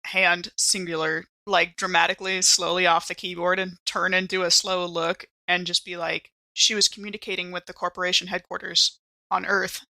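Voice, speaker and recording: A woman's voice: lowish voice, flat delivery, normal speed, creaky, sharp tone, no room sound. A woman role-playing conversationally as a player in a tabletop game, with composed, articulate phrasing.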